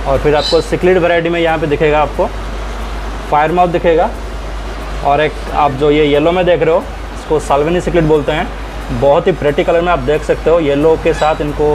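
A man talks viewers through a pet shop in a slightly raised voice, easy and chatty as he lists what is on the shelves. The sound comes from the camera as he walks indoors. Clear shop noise and an air conditioner hum sit behind him. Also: a little high, steady tone, normal speed, long pauses. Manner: chatty, pointing out items and prices to viewers. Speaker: aquarium hobbyist vlogger. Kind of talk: casual shop tour narration.

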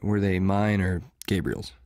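Casting: mild, folksy college man actor, low voice, very slow delivery, apologetic, podcast drama. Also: level tone, darkish tone, dry recording, studio quality. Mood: mild, hesitant. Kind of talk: podcast audio drama acting.

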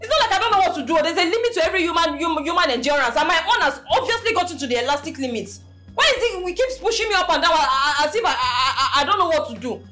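An actress playing a brash, threatening pregnant young wife in a melodrama, ranting and stammering loudly. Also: female voice, extremely high pitch, animated, fast, faint music behind, heavily acted. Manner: loud, defiant, dramatic, stammering rants. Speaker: actor. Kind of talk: melodrama acting.

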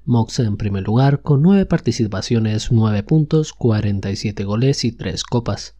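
A man reading out a tournament table as a voice-over in an even, matter-of-fact way. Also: lowish voice, unhurried, no echo. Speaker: announcer reading standings. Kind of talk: read standings voice-over.

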